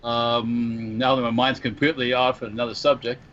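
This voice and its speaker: A man's voice: mid-range voice, little pitch movement, normal speed, a bit bright. A man speaking casually as a podcast guest, plugging his business. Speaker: podcast guest, firearms maker. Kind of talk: casual plug with chat. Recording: dull lo-fi audio, faint background noise.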